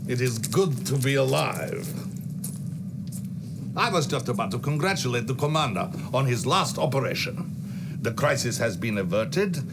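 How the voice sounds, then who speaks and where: A commanding Soviet leader in a game cutscene, with a Russian accent. He is self-assured and theatrical, weighing his words with authority at a middle pitch. Noisy ambience sits clearly behind him. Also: sing-song, moderate pace. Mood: pleased, proud. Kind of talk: dramatic game cutscene acting. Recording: clear background noise and ambience.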